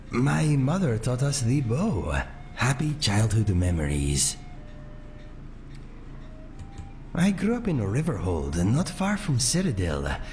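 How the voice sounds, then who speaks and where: A man playing a gentle, courteous cat-folk sellsword in a fantasy game, speaking in measured, formal phrases without contractions. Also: fairly low pitch, slight background noise. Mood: proud, reminiscing. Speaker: companion character in a fantasy video game. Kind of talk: scripted game dialogue. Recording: faint music under the voice.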